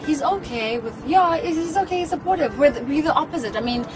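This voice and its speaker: A woman's voice: sits high, a little fast. A young South African woman, a radio presenter, chatting candidly and emphatically as an interview guest. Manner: lively, candid, laughing, emphatic bursts. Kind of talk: casual interview chat. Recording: loud music bed under voices. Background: loud music.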